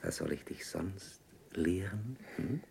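An actor playing a stern, upright shopkeeper and husband in a radio play, measured, gruff and authoritative. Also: male voice, deep voice, animated, a little mellow, slight background noise, exaggerated voice. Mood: soft, indulgent. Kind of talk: German radio play acting.

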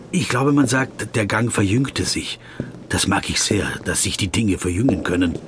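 An actor playing a stocky, fussy legal counsel who recounts a surreal trip in literary first person, savoring his words. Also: fairly low pitch. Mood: puzzled, wondering. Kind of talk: literary first-person narration in a radio play.

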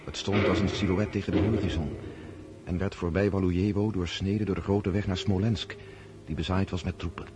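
Radio drama: awestruck count-narrator, deep-pitched, lyrical and descriptive, music underneath. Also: male voice, fairly even intonation, a little fast. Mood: awed, reflective. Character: Pierre, awestruck count recounting a battle he witnessed. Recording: music behind the voice.

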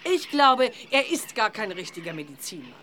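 A woman's voice: medium pitch, very animated, moderate pace, sharp tone. A woman playing a sensible, protective witch mother in a children's audio drama, firm and practical. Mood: puzzled.

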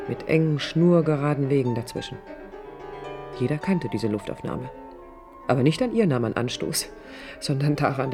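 An actress playing an idealistic, stubborn young architect in a radio drama, thoughtful, intimate and earnest in her delivery. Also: a bass voice. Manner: intimate, thoughtful, earnest, at times dropping to near whisper. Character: idealistic young female architect, reflective yet stubborn and defiant. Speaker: lead actor and first-person narrator of a radio play. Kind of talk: 1980s East German radio drama acting, introspective monologue.

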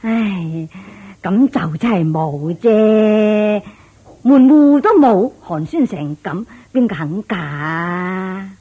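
Slow, blunt matchmaker auntie. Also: female voice, mid-range voice, lively intonation, husky. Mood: blunt, lecturing. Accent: Hong Kong Cantonese. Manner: sighing, lecturing, drawn-out phrasing. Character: blunt older village matchmaker auntie, worldly and doubtful. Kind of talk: Cantonese radio drama acting. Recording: dull lo-fi radio drama with music.

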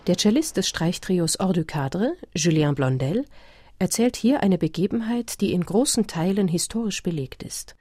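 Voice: medium pitch, lively intonation, normal speed, a little mellow, no room sound. A narrator reading a scripted documentary commentary in a studio. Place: dry studio.

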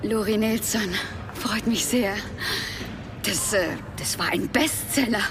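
A woman's voice: mid-range voice, slow, noisy background, natural voice. A woman acting a polite, curious television journalist in naturalistic radio drama dialogue. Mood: polite, hesitant.

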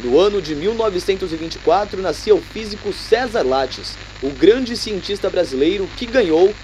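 A very high-voiced man as a morning radio newsreader. He delivers the bulletin in a formal announcer's manner, with faint noise in the background. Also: lively intonation, moderate pace, darkish tone, rough recording. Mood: announcing. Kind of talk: radio news bulletin reading.

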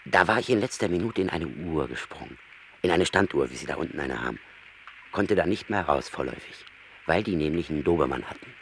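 An actor playing a boastful, world-weary wandering rat who tells tales in a musing, self-important manner in a radio play. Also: male voice, rumbling low, expressive, fairly quick, gravelly, full character voice. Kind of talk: German radio play acting. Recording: noisy old radio drama recording.

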